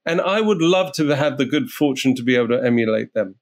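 A man working as a real estate agent, telling stories in a casual interview in a blunt, animated way and swearing freely. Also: medium pitch, brisk.

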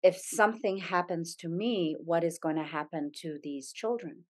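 Earnest, low-voiced woman from Zambia. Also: normal speed. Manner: earnest, thoughtful, with frequent restarts. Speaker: podcast guest, yoga studio owner. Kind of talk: reflective conversational interview.

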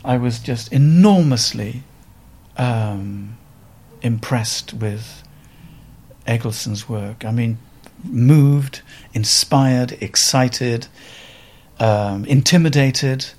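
A man's voice: mid-range voice, darkish tone. Thoughtful older Welsh man recalling the past. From Wales. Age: around 70. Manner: thoughtful, halting, with many fillers and restarts. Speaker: photographer guest. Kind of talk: reflective interview storytelling.

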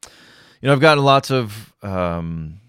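A middle-aged man with a calming voice, hosting his own podcast in a relaxed, rambling way with self-deprecating humor. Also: fairly low pitch, takes it slowly, clean tone, dry recording, studio quality. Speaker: podcast host. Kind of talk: casual solo podcast monologue.

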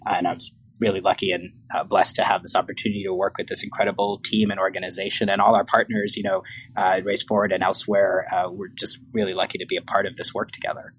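A man's voice: fairly low pitch, little pitch movement, fast talker, fairly bright tone, slight background noise, rough recording. A man in his late thirties, a guest and law professor, speaking reflectively in a podcast interview with many fillers and restarts. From New York, raised in Bangkok.

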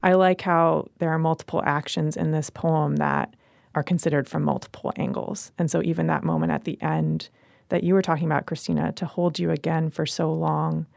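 Female literary panelist, low and creaky, measured analytical delivery. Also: little pitch movement, a little mellow, no echo, studio quality. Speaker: poetry magazine editor, podcast panelist.

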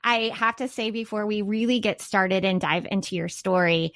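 Middle-aged woman, book podcast host with a slightly gravelly voice, enthusiastic and gushing. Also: medium pitch, normal speed, a bit bright. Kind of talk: scripted podcast intro, then casual interview chat. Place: home, Midwest US.

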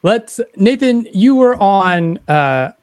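A man's voice: high-pitched, sing-song, slow, a little mellow, smooth, no echo. A man hosting a podcast, asking questions in a casual conversational style. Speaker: podcast host, interviewer.

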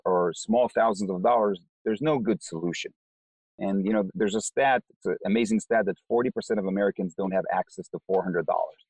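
A man's voice: on the low side, darkish tone, husky, no echo, low-quality recording. A man with an Israeli accent, a company co-founder, explaining his business in an interview and often repeating words as he starts sentences.

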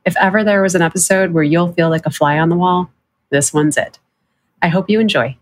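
A woman's voice: on the low side, brisk. A woman hosting a podcast, chatting with her cousin in an upbeat, encouraging way and laughing often. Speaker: podcast host. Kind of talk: casual interview chat.

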